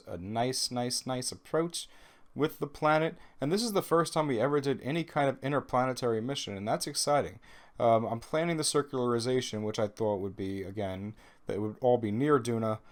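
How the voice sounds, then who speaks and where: A man with a Brooklyn accent, a little nasal from a cold, giving chatty, self-deprecating voice-over commentary on his gameplay. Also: medium pitch. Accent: New York (Brooklyn). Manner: chatty, self-deprecating, rambling with ums and restarts. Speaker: gaming video host.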